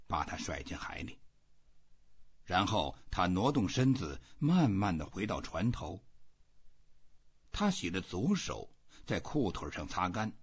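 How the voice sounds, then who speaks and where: Husky, very low-voiced man reading a novel. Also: lo-fi sound. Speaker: audiobook reader. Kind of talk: scripted narration of a literary novel.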